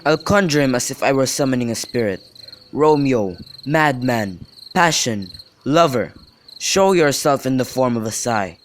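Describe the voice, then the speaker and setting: A man's voice: mid-range voice, lightly acted. A young man playing a bawdy, mock-grand friend in an amateur Shakespeare radio drama, with theatrical, teasing delivery. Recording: noisy home recording with clicks.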